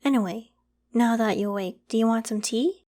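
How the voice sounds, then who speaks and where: A woman voicing a polite, protective young female werewolf with a secret, in a gentle, reassuring ASMR roleplay. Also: a little high, moderate pace, natural voice. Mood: friendly, hospitable. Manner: gentle, reassuring, hesitant when evasive. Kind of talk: ASMR roleplay, acted one-sided conversation.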